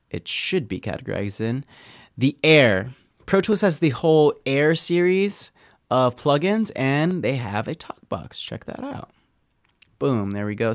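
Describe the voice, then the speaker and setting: A man talking through a software tutorial as an audio engineer, in an easygoing, conversational way with informal asides. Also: medium pitch, no room sound, studio quality. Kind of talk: casual software tutorial talk.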